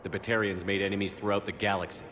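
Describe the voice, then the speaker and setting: An actor playing a steady, resolute military commander in a video game, firm, measured and terse. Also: male voice, fairly low pitch, noisy background, lightly acted. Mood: stern. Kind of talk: video game cutscene acting.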